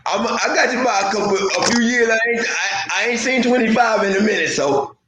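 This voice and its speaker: A man in his forties in a casual video call chat, easygoing and quick to agree and laugh. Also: sits high, reverberant, a loud crowd. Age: 40s.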